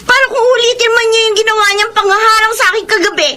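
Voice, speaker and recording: A woman's voice: extremely high pitch, steady tone, fast, bright, forceful tone. A young woman acting a frightened, defiant daughter in a Filipino radio drama, tearful and emotional as she protests. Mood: distressed, pleading.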